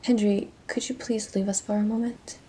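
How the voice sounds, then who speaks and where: A woman playing a weary, tender pirate captain in an intimate audio roleplay, speaking in a hushed, emotional and breathy way. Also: mid-range voice, level tone, slight background noise, lightly acted. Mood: apologetic. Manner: hushed, slow, emotional delivery. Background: faint music.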